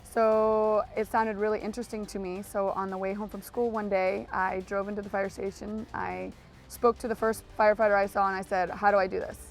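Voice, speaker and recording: A woman firefighter recalling how she started, with lively storytelling. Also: medium pitch, a little fast, rough recording. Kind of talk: interview testimonial. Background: soft music.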